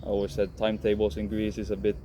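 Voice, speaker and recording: A man in his thirties from Finland, answering interview questions about his career in a calm, frank way with many restarts. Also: on the low side, fast talker. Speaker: professional footballer. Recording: noisy background.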